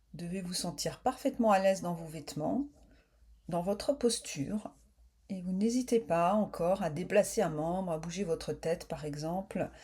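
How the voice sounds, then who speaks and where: A woman yoga nidra teacher guiding a relaxation in a calm, soothing, unhurried way, with slow spoken instructions. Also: mid-range voice, husky.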